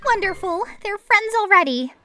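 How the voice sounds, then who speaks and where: Very high, bright, prim pony. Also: female voice, expressive, normal speed, dry recording, faint music behind, exaggerated voice. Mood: polite, cheerful. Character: female pony, prim, polite, cheerful and chatty. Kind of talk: animated audio drama acting.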